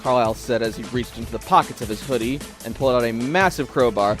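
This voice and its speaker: Male narrator, scripted dramatic storytelling, theatrical, medium-pitched, light background music. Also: fast, fairly bright tone.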